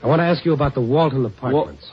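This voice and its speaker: An actor playing a world-weary, persistent newspaper reporter with a clipped hard-boiled manner, in an old-time radio drama. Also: male voice, mid-range voice, rapid-fire, slight background noise, natural voice. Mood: insistent. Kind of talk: old-time radio acting and scripted narration. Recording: dull old radio recording.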